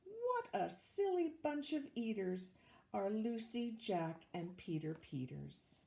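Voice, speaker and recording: Woman reading as a fond, patient storybook mother, mellow. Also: mid-range voice, sing-song, slow, gravelly, a little hiss or hum, exaggerated voice. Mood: bemused. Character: sweet, patient, increasingly weary mother of picky children.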